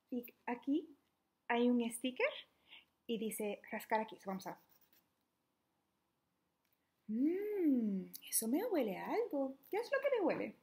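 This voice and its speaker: A young adult woman reading a children's book aloud interactively, in an animated, playful, questioning manner. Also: high voice. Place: small living room at home, indoors.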